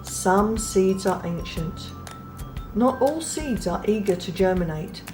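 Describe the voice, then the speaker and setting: A woman reading a children's picture book aloud in a gentle, unhurried way, pausing softly between phrases. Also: medium pitch, animated. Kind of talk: read-aloud storybook narration. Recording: read over clear background music.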